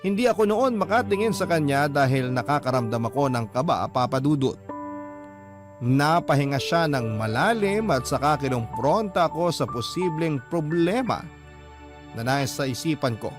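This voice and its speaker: A man playing a troubled husband who narrates his own story in a measured, confiding way for radio drama. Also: on the high side, animated, lightly acted. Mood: uneasy, reflective. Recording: clear music bed under the voice.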